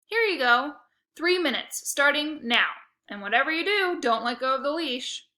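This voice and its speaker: A woman's voice: high-pitched, lively intonation, normal speed, pushed and bright, voice pushed up. A woman voicing a cocky schoolboy hustler who talks in a brash, salesy way.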